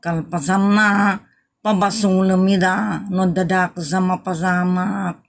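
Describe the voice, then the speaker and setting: Babai nga agak-akting a nagaget a drayber, nainayad. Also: female voice, fairly low pitch, fairly even intonation, takes it slowly, creaky. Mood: reproving. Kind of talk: Ilocano comedy audio drama.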